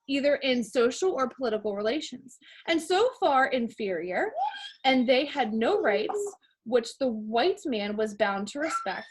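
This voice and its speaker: Casting: American female elementary teacher, slightly high voice, informal read-aloud for kids with plain explanations. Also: slightly rough, poor audio. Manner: addresses listeners as friends, informal, explanatory. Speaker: elementary school teacher reading a picture book to pupils. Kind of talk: read-aloud lesson for young children.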